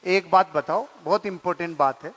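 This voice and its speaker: A man's voice: sits high, fairly quick, a bit bright. A man voicing a curious, insistent wife who coaxes and questions in a comic stage anecdote. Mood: coaxing, puzzled. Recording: long hall reverb.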